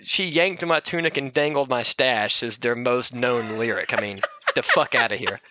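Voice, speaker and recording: A man's voice: medium pitch, moderate pace, sharp tone, a little hiss or hum. A man co-hosting a podcast in casual banter, blunt, slangy and irreverent. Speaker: podcast co-host.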